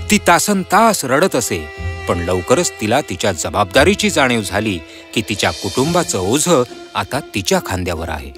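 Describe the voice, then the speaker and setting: A man narrating a children's fairy tale in a scripted storybook style. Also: mid-range voice.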